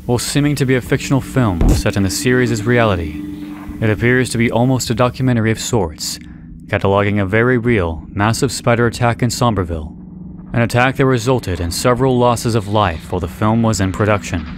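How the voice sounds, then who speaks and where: A man reading scripted analysis narration in a measured, deliberate way with dramatic pauses. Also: on the low side, background noise. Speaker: video essay narrator.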